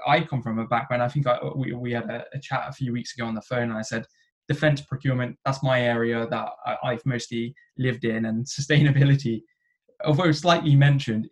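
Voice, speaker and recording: A man hosting a podcast talks in an easy, relaxed way. He often restarts his sentences and drops in a quick "uh" while he works out a question. His pitch sits in the usual middle range for a man. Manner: relaxed, with many restarts and "uh" fillers. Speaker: podcast host. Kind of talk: casual podcast interviewing.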